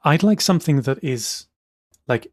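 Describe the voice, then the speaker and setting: A man co-hosting a podcast in casual chat, relaxed, often agreeing and adding quick asides. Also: fairly high pitch, no echo, clean studio sound. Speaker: podcast co-host.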